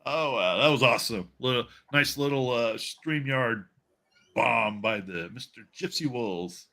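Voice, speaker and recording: A man with a very bright, forceful voice of middling pitch hosts a casual livestream. He rambles and halts as he chats over a video call, often in a joking tone. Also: lively intonation, unhurried, vocal fry. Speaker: livestream host. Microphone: video call stream.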